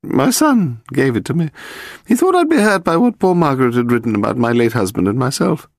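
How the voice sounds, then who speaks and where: A man's voice: medium pitch, big pitch swings, a little fast, vocal fry, dry recording, clean studio sound, voice pushed up. A man voicing a haughty, amused older aristocratic lady in an audiobook, with a theatrical, condescending manner. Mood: amused, languid.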